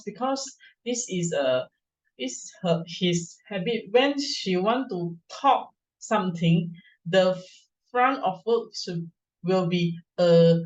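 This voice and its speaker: A woman giving a halting, hesitant report on filler words at a club meeting, pausing often. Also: on the low side. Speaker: meeting filler-word counter. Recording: distant muffled call audio.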